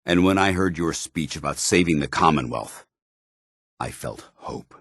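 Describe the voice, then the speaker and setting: An actor playing an earnest, honour-bound soldier paladin in a video game, with a firm voice and grave, formal, deliberate delivery. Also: male voice, rumbling low, expressive, a bit bright, slightly rough, dry recording, studio quality, heavily acted. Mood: solemn, sincere. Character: earnest, honour-bound military paladin, a synthetic man troubled by his identity. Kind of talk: dramatic video game dialogue.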